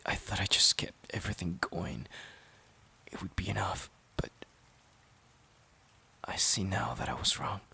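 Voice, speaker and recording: A man's voice: deep voice, animated, a little slow, vocal fry, pauses often, pushed and bright, slightly rough, in a whisper, exaggerated voice. A man playing a wounded, devoted boyfriend who pleads hesitantly and earnestly in an intimate audio drama. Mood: regretful, vulnerable. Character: wounded, devoted boyfriend desperate to save relationship.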